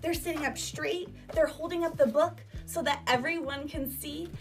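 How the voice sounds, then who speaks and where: Female primary teacher, high voice, emphatic instructional delivery for children, music clearly behind her. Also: normal speed. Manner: emphatic, animated teacher delivery with rhetorical questions. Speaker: elementary school teacher. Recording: distant microphone, music behind.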